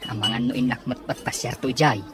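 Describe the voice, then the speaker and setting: A woman's voice: extremely low pitch, brisk, a loud crowd. A woman playing a chatty, obliging office underling in a serial drama, over music. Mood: friendly, probing. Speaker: actor in a Filipino serial drama. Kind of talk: acted drama dialogue. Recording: music behind the voice.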